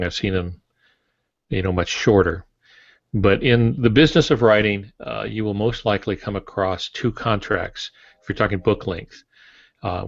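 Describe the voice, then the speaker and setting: A man's voice: low voice, no echo. A man in his sixties explaining things in a podcast discussion over a video call from his home office. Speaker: podcast panelist, veteran author. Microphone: earbuds on a video call. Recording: dull, compressed video-call audio.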